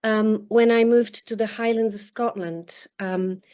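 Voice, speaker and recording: Guest author, woman, forties, from Bulgaria; slow and hesitant delivery over a video link. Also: fairly high pitch, fairly even intonation, darkish tone. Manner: halting, many restarts and ums. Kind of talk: reflective interview answers. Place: home in rural northern countryside. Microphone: video call.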